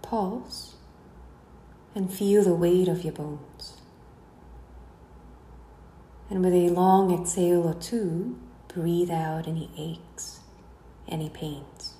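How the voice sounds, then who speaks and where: A woman guiding a meditation in a slow, soothing delivery, letting the ends of her phrases trail away softly. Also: lowish voice, fairly even intonation. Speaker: guided meditation instructor. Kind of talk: slow guided relaxation narration. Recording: roomy echo, faint background noise.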